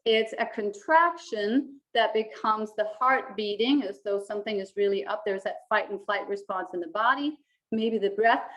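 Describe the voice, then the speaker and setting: A woman, teaching to camera from a small room in a calm, reflective way. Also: medium pitch, low-quality recording. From Denmark. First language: Danish. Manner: calm, reflective, gestures a lot with her hands. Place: small indoor room with a plain wall.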